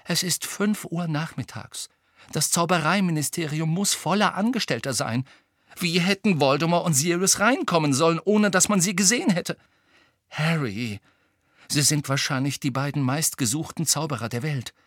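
Guy doing a worried teen witch girl for an audiobook, high voice, pleading but stubborn. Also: normal speed, a bit bright, dry recording, clean studio sound. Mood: anxious. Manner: fearful, pleading, insistent. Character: anxious, determined, reasoning teenage witch girl. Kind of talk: audiobook character acting.

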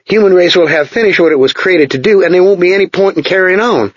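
A man's voice: sits high, fast, dry recording, voice pushed up. A man voicing a nervous, urgent American technician who talks in an anxious, pressing way in a storybook reading. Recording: dull lo-fi dry recording.

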